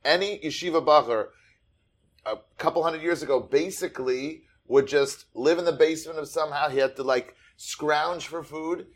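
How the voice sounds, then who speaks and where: A man interviewing a guest, enthusiastic and animated as he tells a story. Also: on the high side, moderate pace. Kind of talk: conversational interview.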